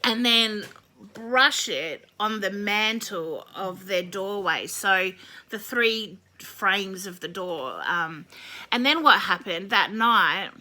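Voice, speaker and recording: A woman with a slightly croaky voice explaining a holiday in a casual, meandering way, with many ums and self-corrections. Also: medium pitch, expressive, slow pace, bright, forceful tone. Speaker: video host explaining a holiday. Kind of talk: casual explanatory talk to camera.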